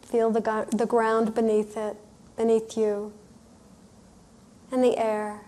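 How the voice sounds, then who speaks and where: Slow, higher-voiced woman guiding a meditation. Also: level tone. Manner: soothing, gentle, speaking in short pausing phrases. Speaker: workshop leader guiding a visualization. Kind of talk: guided meditation, unhurried storytelling instruction.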